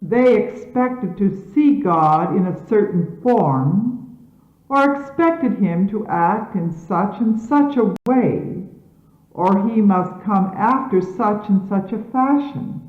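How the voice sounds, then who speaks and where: A woman reading scripture as a solemn sermon in measured, emphatic, formal diction, on an archival recording from the 1970s. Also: medium pitch, lively intonation. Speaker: religious leader reading scripture aloud. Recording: phone-like, echoey 1970s archival tape.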